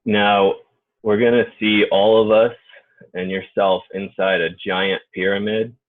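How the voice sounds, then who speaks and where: A man leading an unscripted guided group meditation in an informal, halting way. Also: fairly low pitch, level tone. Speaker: guided meditation leader and healer. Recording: muffled phone-like narrow-band audio.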